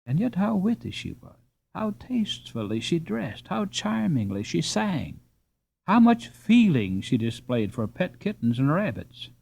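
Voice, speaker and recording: Lively storybook reading by a man. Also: on the high side, measured pace, gravelly, slightly rough. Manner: gentle expressive reading with lilting emphasis. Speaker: audiobook narrator. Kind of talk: scripted storybook narration. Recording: dull lo-fi recording.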